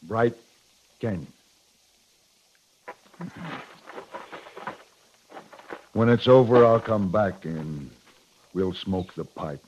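An actor playing a resolute, blunt frontier cavalry captain in a 1950s radio western, with clipped, commanding delivery. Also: male voice, lowish voice, slow pace. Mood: grave, persuasive. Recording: muffled old radio recording with clear noise.

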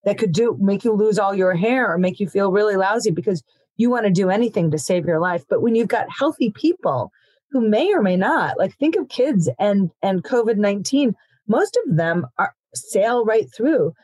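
A woman physician, born in Ethiopia and raised in Africa, explaining vaccines in an interview in a steady, explanatory way with frequent "you know". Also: medium pitch, moderate pace, breathy edge, dry recording.

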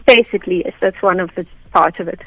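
A woman's voice: lowish voice, quick pace, no room sound, poor audio. South African woman, dry close sound. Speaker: radio interview guest, air traffic information officer. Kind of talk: conversational explanation in an interview. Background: faint background music.

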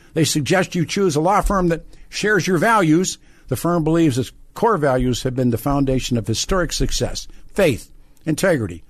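An American man hosting a call-in radio show, who talks discursively, halting and repeating words as he thinks aloud. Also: medium pitch, no room sound. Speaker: radio talk show host. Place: radio studio. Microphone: close studio microphone.

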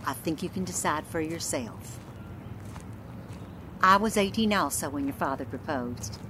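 A woman playing a calm, supportive mother of a teenage girl in an audio drama. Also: low-pitched, measured pace, background noise. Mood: gentle, reassuring. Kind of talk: scripted audio drama dialogue.

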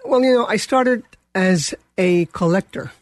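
A woman's voice: medium pitch, measured pace, dry recording, studio quality. An older woman from Colombia with a Colombian Spanish accent, a guest telling her career story in a rambling way with many fillers. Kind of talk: casual interview.

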